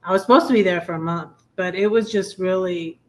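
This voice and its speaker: A woman hosting a casual live chat, telling personal stories in a halting, rambling way. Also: fairly low pitch. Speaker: live stream host.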